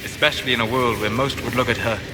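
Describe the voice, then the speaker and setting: An actor playing a calm, scholarly elven mage in a video game, speaking in a measured, formal and articulate way. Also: male voice, mid-range voice, natural voice. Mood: composed, wry. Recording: game audio with background noise.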